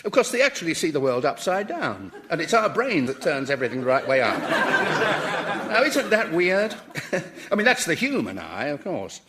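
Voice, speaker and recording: An actor playing a cheerfully curious, unflappable professor in a comedy radio drama, chatty and donnish with a British accent. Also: male voice, on the high side, wildly swooping pitch, normal speed, heavy background noise. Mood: fascinated. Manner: chatty, polite, donnish, amused.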